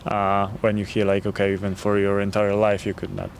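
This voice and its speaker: A man's voice: on the low side, completely flat delivery, brisk, creaky. A man from Poland with a Polish accent, answering a street interview as a tourist and talking hesitantly with many fillers. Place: city street outdoors. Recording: street noise with traffic.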